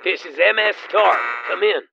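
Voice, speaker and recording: A man's voice: high-pitched, moderate pace, gravelly, bright, forceful tone, a little hiss or hum, exaggerated voice. A man playing a cold crime boss in an audio drama, giving terse orders through a two-way radio filter. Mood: cold, commanding. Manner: terse, commanding. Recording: dull, band-limited two-way radio.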